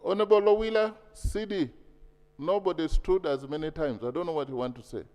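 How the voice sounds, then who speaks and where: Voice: medium pitch, brisk, darkish tone. A presiding parliamentary speaker with a Ugandan accent, making brief procedural interjections. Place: large parliamentary chamber. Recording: echoey hall with long reverb.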